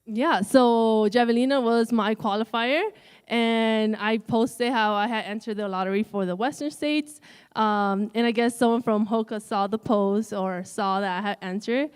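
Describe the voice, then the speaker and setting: A woman's voice: on the high side, fairly even intonation, measured pace, clear, resonant tone. A woman runner, a guest in a live interview, telling her own story in a casual way. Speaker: guest, ultrarunner. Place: live event stage.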